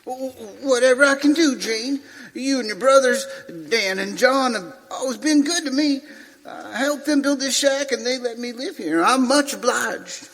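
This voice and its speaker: Man acting a high-pitched, halting old prospector. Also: a bit bright, full character voice. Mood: surprised, obliging. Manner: hesitant, halting, humble. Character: obliging old former ranger living in a mine shack. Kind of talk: western radio theater acting. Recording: reverberant hall.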